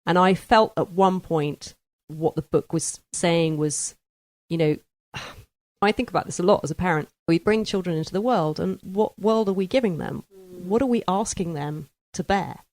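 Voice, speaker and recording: Low-voiced woman hosting a podcast. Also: moderate pace, darkish tone, no room sound. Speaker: podcast host. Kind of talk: book discussion chat.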